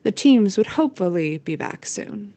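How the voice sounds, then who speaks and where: A woman's voice: mid-range voice, lively intonation, normal speed, dark tone, no room sound. A woman reading scripted storytelling narration for an audio play.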